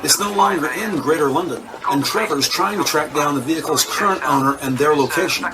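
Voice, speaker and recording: A man's voice: fairly high pitch, brisk, a loud crowd, low-quality recording. A man reading scripted dramatic narration for an audio drama.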